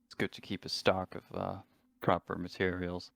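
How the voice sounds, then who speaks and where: Low-voiced man playing a tabletop game. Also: monotone, normal speed, vocal fry, fairly bright tone. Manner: swears casually. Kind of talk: casual in-game chat.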